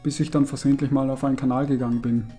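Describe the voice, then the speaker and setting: A man with a broad Austrian dialect, mixing Carinthian and Viennese sounds, talks his way through a retro platformer. His voice is dark and mellow, and he mutters and grumbles at the game between chatty remarks. Faint game music plays underneath. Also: mid-range voice, level tone, rapid-fire. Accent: Austrian, Carinthian and Viennese dialect mix. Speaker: let's player. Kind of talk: casual gaming commentary.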